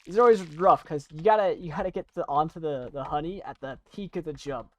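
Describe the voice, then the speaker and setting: A young adult chatting casually while playing and commentating on a video game, rambling in a halting, self-deprecating way. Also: mid-range voice. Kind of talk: casual gaming commentary and chat.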